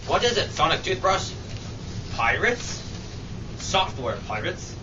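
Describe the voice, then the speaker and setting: A man's voice: sits high, very animated, slow, exaggerated voice. Man playing pompous, swooping radio superhero. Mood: melodramatic alarm. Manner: melodramatic, declamatory. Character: pompous, earnest male toothbrush-themed superhero. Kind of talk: amateur satirical old-time radio superhero acting. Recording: muffled, noisy, distant room mic.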